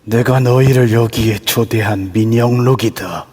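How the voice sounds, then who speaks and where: A man's voice: mid-range voice, steady tone, measured pace, darkish tone, breathy edge. A man playing the vengeful, menacing ghost of an old-time lord in an amateur audio drama, speaking in archaic, imperious phrases. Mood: cold menace. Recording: long reverb throughout.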